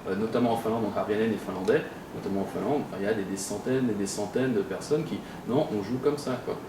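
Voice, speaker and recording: A man's voice: fairly low pitch, fast. A man informally explaining his point in a discussion, emphatic and colloquial, often repeating himself. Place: convention room. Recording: echoey room, distant mic, background noise.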